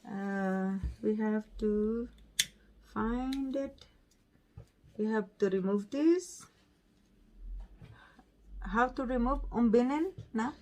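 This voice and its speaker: A woman's voice: fairly high pitch, extremely slow, pauses often, a little mellow, a little hiss or hum. A woman with a Filipino accent chatting casually in non-native English as she presents an unboxing vlog. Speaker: vlogger.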